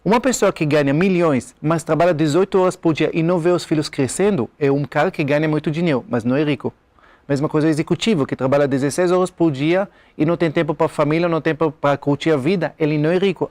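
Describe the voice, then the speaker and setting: A man's voice: on the high side, fast talker, a little mellow, dry recording. A man from Israel with an Israeli accent, a speaker and author explaining his ideas confidently as a TV interview guest. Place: TV studio.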